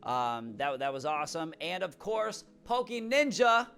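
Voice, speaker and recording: A young man vlogging on a headset in a small room at home, talking about his draft league in a hyped, excitable way and saying he is losing his voice. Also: fairly high pitch, a little slow, gravelly, smooth, faint music behind. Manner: hyped, shout-out heavy, repeats words when excited. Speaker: YouTuber and draft league coach. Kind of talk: energetic casual vlog talk.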